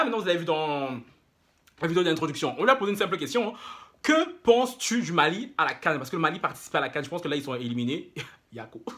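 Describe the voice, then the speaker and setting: Fast, emphatic man commentating online. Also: on the high side, bright, forceful tone. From Africa. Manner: emphatic, repeats phrases for emphasis. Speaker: YouTube commentator. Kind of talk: opinionated casual monologue to viewers.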